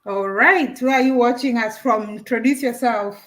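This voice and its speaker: A woman's voice: on the high side, moderate pace. A woman hosting a live stream, chatting casually with a caller and laughing often while she unboxes a camera.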